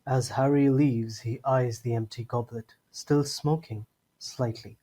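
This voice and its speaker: Mid-pitched male audio drama narrator. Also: fairly even intonation, a little slow, warm tone, a little hiss or hum.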